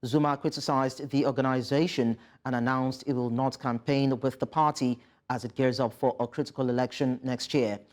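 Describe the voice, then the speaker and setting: News anchor, medium pitch, formal news reading, live interview questioning. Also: fairly even intonation, normal speed, hardly any pauses, a little mellow.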